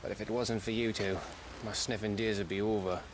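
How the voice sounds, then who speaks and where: An actor playing a wry, rough-edged scout in a video game cutscene, talking in a colloquial, folksy way. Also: male voice, on the low side, little pitch movement, a little fast, lightly acted. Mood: wry, grateful. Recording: clearly noisy background ambience. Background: ambient noise.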